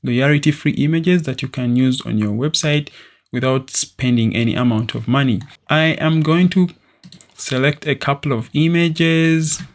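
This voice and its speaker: A young man hosting a screen-recorded software tutorial, walking through steps in a steady, explanatory way with occasional fillers, recorded at a desk in a small room. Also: mid-range voice. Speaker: tutorial host.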